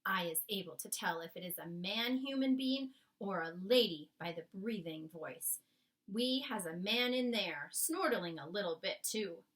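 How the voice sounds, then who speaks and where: A woman voicing a gentle, cautious male giant from a children's storybook, with folksy, mangled grammar. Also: mid-range voice, animated, a little slow, distant mic, poor audio. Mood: secretive. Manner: folksy, ungrammatical phrasing. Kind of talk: children's storybook character read aloud.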